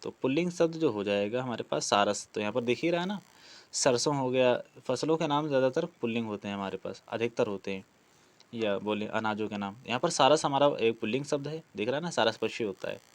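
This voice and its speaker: A man's voice: mid-range voice, animated, a little fast. Man teaching through practice questions. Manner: explanatory, asks questions and answers them himself. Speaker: Hindi grammar teacher for exam preparation. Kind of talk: practice question walkthrough lesson.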